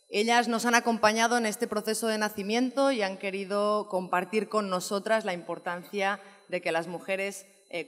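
A woman with a Spanish accent introducing speakers on a conference panel, often repeating her phrases. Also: medium pitch. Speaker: panel moderator. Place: conference hall stage. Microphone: table microphone. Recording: echoey conference hall.

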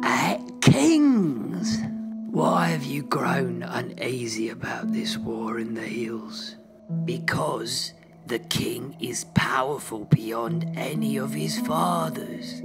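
A man voicing a brooding, uneasy soldier in an audio play, solemn and poetic, under loud music. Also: medium pitch, creaky. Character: brooding, uneasy soldiers pondering war, gods and kings.

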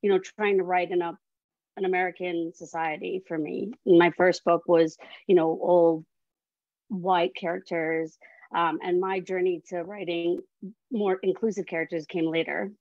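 A middle-aged woman from Trinidad and Tobago, an author chatting casually on a panel, animated and self-deprecating. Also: fairly low pitch, a little slow, no echo. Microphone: video call. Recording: dry, dull video call audio.

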